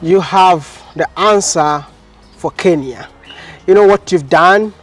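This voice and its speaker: A man's voice: sits high, slow, fairly bright tone, faint music behind. A man from Ghana hosting an interview, playful and self-deprecating, hyping up his guest. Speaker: interviewer, video host. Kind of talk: enthusiastic street interview.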